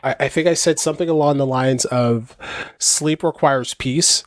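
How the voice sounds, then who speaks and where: A man's voice: mid-range voice, moderate pace, no room sound. Affirming podcast host man, dry sound. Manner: affirming, frequent "yeah", rambling questions. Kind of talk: conversational interview.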